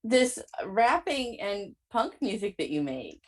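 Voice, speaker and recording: A woman's voice: medium pitch, sing-song, slow. A woman hosting a podcast in casual interview chat, effusive and informal, often saying "like". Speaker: podcast host.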